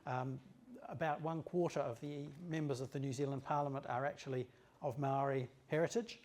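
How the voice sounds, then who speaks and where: Measured male lecturer, mid-pitched. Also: fairly even intonation, darkish tone. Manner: measured, with frequent um and uh pauses. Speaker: trade office director giving a lecture. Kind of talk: formal lecture to an audience.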